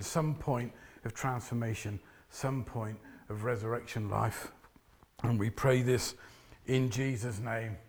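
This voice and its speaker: A man preaching a sermon with a loud, booming voice, pacing about and sometimes dropping to a soft prayerful tone. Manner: drops to soft hushed prayer voice.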